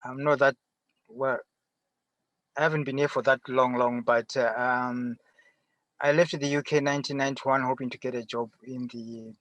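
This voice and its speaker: A middle-aged man with a Zimbabwean accent answering interview questions conversationally from inside a car, often pausing and rephrasing. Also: medium pitch, level tone, fairly bright tone, no echo, rough recording. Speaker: interview guest, farmer. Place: sitting in a parked car.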